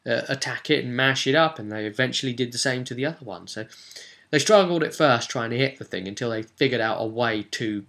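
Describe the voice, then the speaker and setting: A man's voice: mid-range voice, a little fast, gravelly, fairly bright tone. A man giving a casual, unscripted game master's recap, telling it in a rambling, enthusiastic way and often correcting himself.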